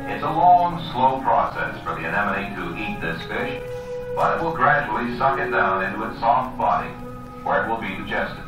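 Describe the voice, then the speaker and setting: A man's voice: medium pitch, distant mic. A man reading scripted educational nature narration as the host of a television program. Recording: very echoey archival television soundtrack.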